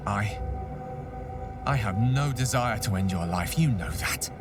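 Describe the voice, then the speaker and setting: A man's voice: on the low side, animated, unhurried, recorded from a distance, over music. A man playing an anguished, noble wizard in a video game, speaking with earnest, dramatic eloquence. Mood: grave.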